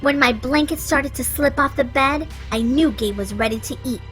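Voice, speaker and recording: A woman reading a children's picture book aloud, playful and expressive. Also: high voice, normal speed, soft background music. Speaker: children's story reader. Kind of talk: read-aloud storytelling.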